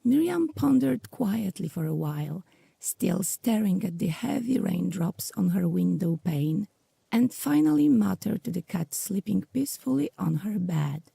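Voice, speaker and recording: A woman reading scripted narration for an audio drama in a gravelly, breathy voice. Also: medium pitch, expressive, unhurried, dark tone, no echo.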